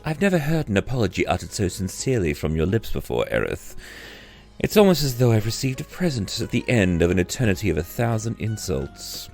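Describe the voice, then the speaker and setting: A man's voice: on the low side, sing-song, a little fast, a little mellow, faint music behind. A man playing a sardonic afterlife tavern keeper. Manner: sardonic, mock-formal.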